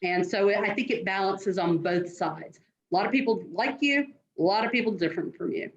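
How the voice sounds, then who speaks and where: A woman's voice: medium pitch, normal speed. Earnest woman dean, dull lo-fi call. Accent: non-New York American. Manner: earnest, direct, advising students. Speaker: admissions dean. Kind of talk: answering interview questions.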